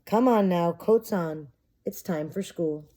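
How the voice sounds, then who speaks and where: Woman as storybook elephant mum: motherly, firm, bustling, soft dark timbre. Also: lowish voice. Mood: brisk, bossy. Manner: brisk, motherly, bossy.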